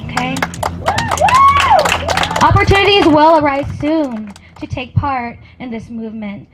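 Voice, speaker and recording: A woman's voice: high-pitched, expressive, unhurried, over a noisy crowd. A woman student speaking at an outdoor campus rally, rousing and building on repeated phrases. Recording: dull lo-fi outdoor recording, faint noise.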